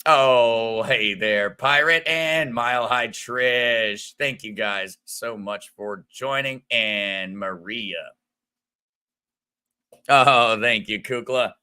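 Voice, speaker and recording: Man, livestream host, very bright tone, smooth radio voice, friendly and chatty, reads out viewer comments. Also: medium pitch, very slow. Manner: friendly and chatty, greets viewers by name, frequent restarts. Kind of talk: casual livestream chat reading viewer comments.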